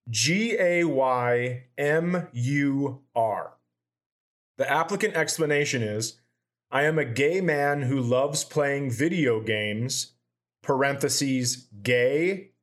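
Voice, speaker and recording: Seorang pria membawakan obrolan komedi santai, membacakan kiriman dengan datar dan sering mengumpat. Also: mid-range voice. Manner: profane, animated storytelling with deadpan read-outs. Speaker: podcast co-host. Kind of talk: casual comedy chat, reading out items.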